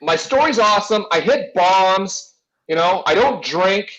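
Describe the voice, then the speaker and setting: Higher-voiced rambling man in his forties, dull audio. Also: lively intonation, a little slow, fairly bright tone. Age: 40s. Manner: rambling, boisterous, swears freely. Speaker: talk show host. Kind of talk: casual sports chat with personal anecdotes.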